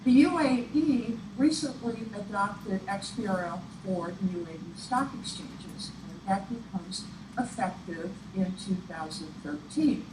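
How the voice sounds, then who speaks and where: A woman presenter giving an instructional presentation in a deliberate, explanatory way. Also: medium pitch, lively intonation. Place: echoey room, picked up from afar. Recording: echoey, distant room recording with clear noise. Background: clear room noise.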